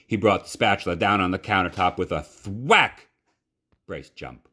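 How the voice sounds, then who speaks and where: A man reading a children's story aloud in a measured, dramatic, expressive narration. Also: sits low.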